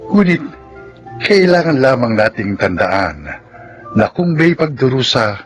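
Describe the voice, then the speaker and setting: A man delivers scripted radio drama narration as the announcer. His medium-pitched voice rises and falls dramatically, each phrase deliberate. Music plays clearly underneath. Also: sing-song. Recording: music bed behind announcer.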